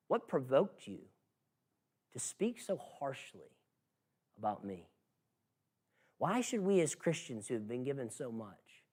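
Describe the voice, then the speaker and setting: A man preaching an expository sermon in an earnest, exhorting manner, emphatic and given to rhetorical questions. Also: on the high side. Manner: emphatic, swings from hushed to loud.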